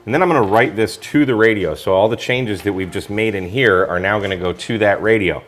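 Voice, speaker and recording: A man's voice: lowish voice, a little fast, fairly bright tone. A man talking informally through a product review, explaining things step by step in a casual way.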